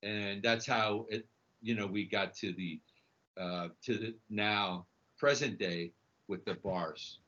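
A middle-aged man from Chile telling his own story conversationally as a guest, halting and repeating his words. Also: fairly low pitch, sharp tone. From Chile, emigrated to the United States. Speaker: podcast guest, snack bar entrepreneur. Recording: dull, lo-fi audio.

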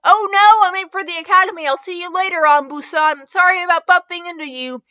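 A woman voicing a loud, brash young ninja boy in a story, exclaiming indignantly. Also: extremely high pitch, a little fast, dry recording, lo-fi sound, pitched above the performer's own voice. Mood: flustered.

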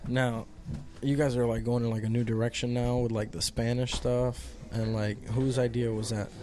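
A man's voice: medium pitch, level tone, moderate pace. A man hosting a casual live interview in a pub, laid-back and swearing freely. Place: crowded live music pub. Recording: noisy crowded pub. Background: crowd chatter.

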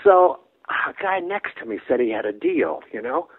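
A man in his nineties from Brooklyn, a guest telling long, rambling anecdotes that trail off softly, with restarts and chuckles. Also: medium pitch, big pitch swings, moderate pace. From Brooklyn, New York. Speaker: interview guest. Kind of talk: casual storytelling interview. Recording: phone-like narrow band.

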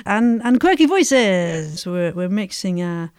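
A woman's voice: fairly low pitch, sing-song, slow, a little mellow, dry recording, clean studio sound. A woman who directed an audio drama, chatting playfully on a podcast. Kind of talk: casual podcast chat.

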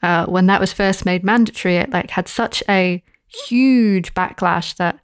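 A woman speaking as a podcast guest, thinking aloud in a halting way with restarts and fillers. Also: fairly low pitch, clear, resonant tone, no echo, clean studio sound. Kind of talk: casual podcast discussion.

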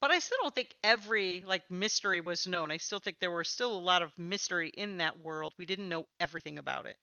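A woman's voice: lowish voice, brisk, pushed and bright, no echo, muffled recording. A woman hosting a casual live podcast interview, chatting and asking questions of a guest.

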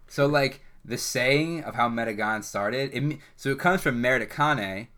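A young man co-hosting a casual podcast, with a bright, forceful edge to his voice. He rambles through his stories with many restarts and laughs easily along the way. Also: mid-range voice, moderate pace. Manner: rambling storyteller, laughs often. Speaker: podcast co-host. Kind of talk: casual chat.

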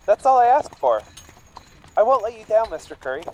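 An actor playing an earnest, pleading young immigrant farmhand in a radio drama. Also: male voice, high-pitched, big pitch swings, a little fast. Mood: eager, grateful. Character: penniless young English immigrant farmhand, eager but worn down.

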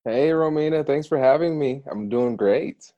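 A man's voice: medium pitch, moderate pace, dry recording, highs cut off. A man from Ohio who is a guest on a podcast and explains his ideas in a friendly, thoughtful way. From Ohio, USA. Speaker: podcast guest, negotiation attorney. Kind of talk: podcast interview.

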